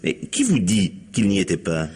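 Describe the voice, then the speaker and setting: A police commissioner in a mystery radio play. His voice is low and rough-edged, with lively rises and falls as he questions with dry, polite patience. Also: normal speed, heavily acted. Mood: dry, patient.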